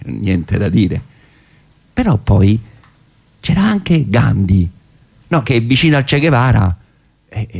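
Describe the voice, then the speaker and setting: A man performing a theatrical narrative monologue in a conversational, ironic manner with rhetorical pauses. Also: mid-range voice, gravelly, darkish tone, husky. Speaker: stage actor and monologist.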